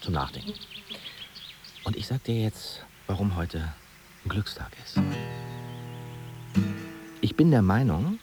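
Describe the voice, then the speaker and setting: Lively, scheming young man. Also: lowish voice, takes it slowly, pauses often, over loud music. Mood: eager, persuasive. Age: young adult. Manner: casual, persuasive, slangy. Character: enthusiastic scheming young student friend turned would-be manager. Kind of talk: naturalistic German radio drama dialogue.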